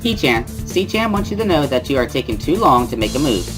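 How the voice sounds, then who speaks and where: A woman playing a bubbly, excitable teenage schoolgirl in a dramatic reading of a visual novel, with a singsong, giggly manner. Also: a bass voice, fairly quick, over music. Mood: playfully teasing. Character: bubbly teenage schoolgirl relaying a friend's signed words.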